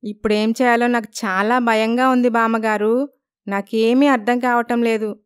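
Timid high-voiced young wife, played by a woman. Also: steady tone, moderate pace, smooth, no echo, studio quality, natural voice. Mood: worried. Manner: polite, plaintive.

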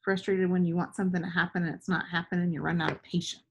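A woman's voice: lowish voice, flat delivery, fast, a little hiss or hum. A woman in her thirties reading aloud for young children, teacherly and prompting with questions. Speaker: read-aloud storyteller. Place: small living room indoors.

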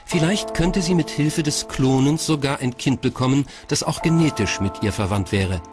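A man's voice: medium pitch, moderate pace, over music. A man reading scripted documentary narration. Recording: clear background noise.

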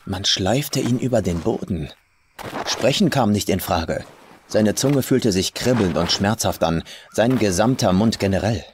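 A man's voice: lowish voice, noisy background. A man reading a scripted horror story as a dramatic audiobook narrator. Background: faint atmospheric music.

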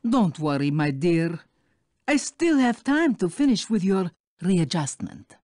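An actress voicing a scheming spider countess in a video game, theatrical and condescending. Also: female voice, lowish voice, sing-song, a little slow, darkish tone, no echo. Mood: smug, coaxing.

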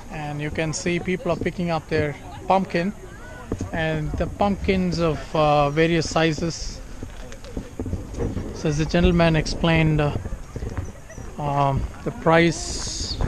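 A man's voice: a little high, noisy background. A man in his thirties with a Tamil accent, casually narrating a travel vlog outdoors with lots of fillers, filmed on a handheld camera at arm's length. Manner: chatty, filler-heavy, switches between languages. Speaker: vlogger. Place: outdoors at a farm and corn maze. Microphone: handheld action camera at arm's length.

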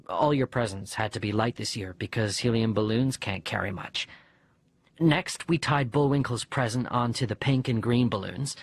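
A man reading a first-person children's story as an audiobook narrator, with a husky voice. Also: mid-range voice, no echo. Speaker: audiobook reader.

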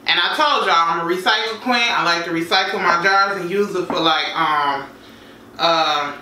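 A woman's voice: fairly low pitch, normal speed, a little hiss or hum. A woman in her thirties, chatting casually as she cooks at home. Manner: chatty, relaxed, folksy phrases. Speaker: home cooking vlogger. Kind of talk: casual cooking demo. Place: home kitchen, indoors. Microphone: far camera mic. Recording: roomy kitchen, distant mic, clattering dishes.

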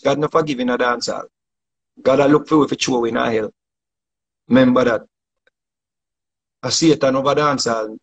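Fiery gravelly Jamaican man. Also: mid-range voice. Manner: fiery, preachy, lapsing into patois. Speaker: entertainer ranting on a livestream. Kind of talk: animated phone livestream rant. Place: indoors, living room. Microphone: phone held close.

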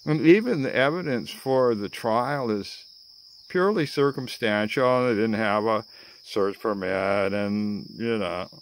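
Slow-talking older American man, theatrical. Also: fairly low pitch, slight background noise, rough recording. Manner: theatrical, dramatic pauses, chuckling asides. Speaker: vlogger. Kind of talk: spoken-word political rant to camera. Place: outdoors among greenery. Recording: outdoor ambience with chirping insects.

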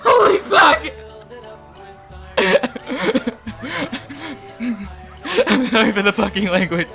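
A woman's voice: a little high, sing-song, extremely slow, gravelly, a bit bright, shouting, soft background music, full character voice. An actress playing a panicky, foul-mouthed, overwrought woman in an audio drama. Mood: hysterical relief. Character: panicky, tearful, foul-mouthed woman on an amusement park ride.

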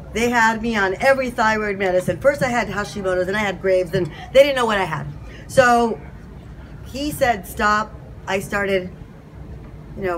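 A woman's voice: mid-range voice, a little hiss or hum, poor audio. A woman in her fifties speaking candidly and with passion into a handheld mic to a crowd at an outdoor event. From California. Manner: candid, impassioned, self-deprecating. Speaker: community speaker. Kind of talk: informal speech to a crowd. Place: outdoor patio event, crowd standing around.

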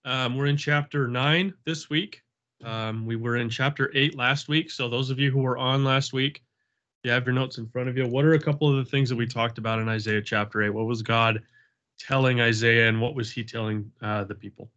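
A man's voice: mid-range voice, steady tone, fairly quick, a bit bright. A man leading a Bible study on a video call, praying earnestly and chatting casually, with a slight cold. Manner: earnest, repetitive prayer cadence. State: has a cold, sniffling. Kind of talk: prayer and casual group talk. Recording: dull lo-fi video call audio.

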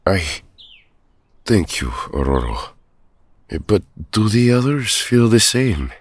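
A man voicing a gentle, humble big man with a quiet manner, in an audio drama. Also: fairly low pitch, sing-song, slow, no room sound, heavily acted.

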